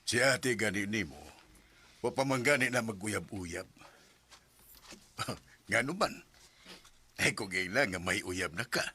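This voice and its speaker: A middle-aged father who admonishes with love in a Bisaya radio drama. His voice is fairly low and firm, with a Cebuano Filipino accent. Faint noise sits behind it. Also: takes it slowly, long pauses, pushed and bright. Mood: gently stern. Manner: admonishing, paternal. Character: protective, stern but loving middle-aged widowed father.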